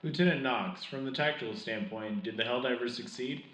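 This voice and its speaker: A man's voice: medium pitch, a little fast, a bit bright, roomy sound, close to an everyday voice, poor audio. A man playing an earnest, patriotic host of a sci-fi wartime radio news show, with a formal announcer delivery. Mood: serious, inquiring. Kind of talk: scripted wartime radio broadcast.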